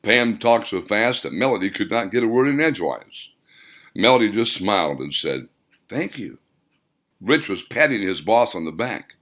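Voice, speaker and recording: A man reading his own novel as an audiobook, with dramatic, expressive storytelling. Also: fairly low pitch, normal speed. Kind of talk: scripted audiobook narration.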